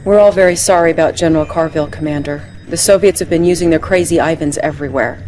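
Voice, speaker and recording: An actress playing an earnest, loyal intelligence officer, giving composed, clipped briefings in a video game. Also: female voice, sits low, fast talker, dark tone, soft background music, close to an everyday voice, poor audio. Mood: sympathetic.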